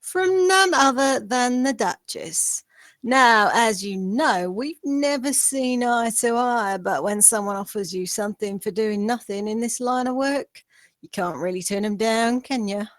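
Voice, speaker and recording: A woman's voice: on the high side, measured pace, a bit bright, dry recording. A woman playing a sneering, mocking gangster river rat boss in slangy tough talk at a script table read. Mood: mocking menace. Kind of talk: table-read character acting.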